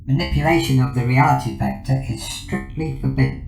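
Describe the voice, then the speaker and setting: A man's voice: mid-range voice, steady tone, a little fast, creaky. A man playing a formal, procedural artificial intelligence in a sci-fi audio drama, speaking in clipped statements. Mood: formal, warning. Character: coolly formal artificial intelligence advisor named Cognos. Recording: roomy echo, loud music behind.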